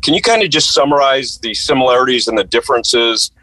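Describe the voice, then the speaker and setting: A man's voice: medium pitch, animated, fairly quick, sharp tone, poor audio. A male reporter asking a question at a press conference, stumbling and correcting himself.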